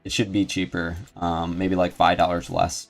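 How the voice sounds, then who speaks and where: Male game streamer, low register, casual and rambling commentary over light background music. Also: completely flat delivery, moderate pace, vocal fry. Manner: rambling, thinking aloud. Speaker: video game streamer and host.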